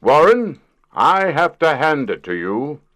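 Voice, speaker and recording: A man's voice: fairly high pitch, very animated, takes it slowly, a bit bright, exaggerated voice. A man in a comic audio drama playing an overbearing middle-aged company boss, pompous and emphatic. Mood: pompous, brisk.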